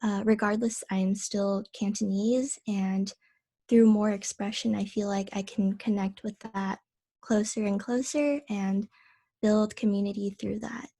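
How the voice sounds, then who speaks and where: A woman writer talking on a video call in a soft, thoughtful, measured way about her own piece. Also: medium pitch, fairly even intonation. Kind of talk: reflective personal talk.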